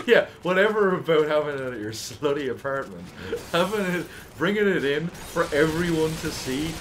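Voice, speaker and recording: A man's voice: a little high, lively intonation, moderate pace, fairly bright tone, a little hiss or hum. A man co-hosting a gaming channel, joking and ranting in casual banter, laughing often.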